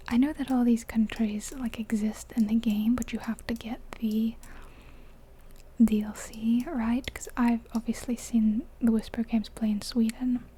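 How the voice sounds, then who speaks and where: A woman's voice: fairly high pitch, mellow, slight background noise. A woman whispering her way through a game in a chatty, rambling ASMR style. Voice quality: breathy unvoiced whisper. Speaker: ASMR gaming creator. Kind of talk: whispered ASMR gameplay commentary.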